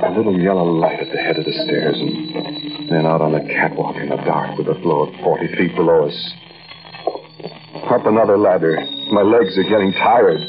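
An actor playing a frightened, haunted man telling his own eerie story, intense and halting, in 1940s radio style. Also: sits low, steady tone, close to an everyday voice. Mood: weary, mounting dread. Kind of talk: 1940s radio acting, first-person suspense narration. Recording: muffled, noisy old radio recording.

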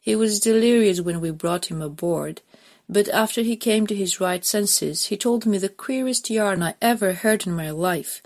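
A woman's voice: mid-range voice, moderate pace, a little mellow. A woman reading a story aloud as scripted audiobook narration. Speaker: volunteer audiobook reader.

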